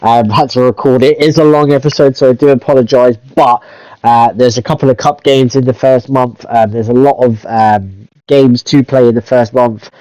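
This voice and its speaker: A man's voice: mid-range voice, fairly even intonation, moderate pace, dark tone, no echo, poor audio. A man with a cold, chatting through football game commentary for his channel, rambling with lots of hesitant fillers. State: stuffy-nosed from a cold. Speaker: gaming YouTuber. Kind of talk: casual gameplay commentary.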